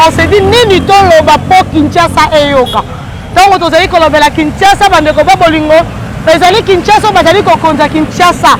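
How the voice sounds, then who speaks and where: A woman's voice: very high-pitched, poor audio. A woman with a Congolese French accent hosts a street report, asking earnest rhetorical questions and slipping into Lingala. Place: outdoors at a busy city crossroads. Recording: street noise and crowd chatter.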